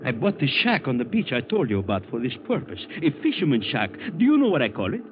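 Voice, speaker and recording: A man's voice: medium pitch, wildly swooping pitch, fast talker. A man playing a chatty, money-loving Latin smuggler in 1940s radio drama style. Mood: jovial. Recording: scratchy, phone-like old radio recording.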